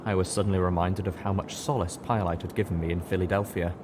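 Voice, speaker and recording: A man narrates a first-person story in a low-pitched voice. The recording carries clear background noise under his scripted reading. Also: steady tone, fast talker. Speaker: audiobook reader.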